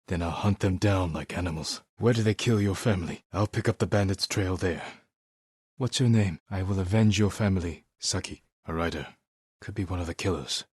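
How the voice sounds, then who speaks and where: A solemn, determined samurai from a video game. His voice sits very low with a rough husk to it, recorded dry and close. He speaks in short, measured lines with serious, honourable resolve. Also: dry recording. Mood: grave, determined, then quiet, sombre. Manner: terse, measured, serious delivery.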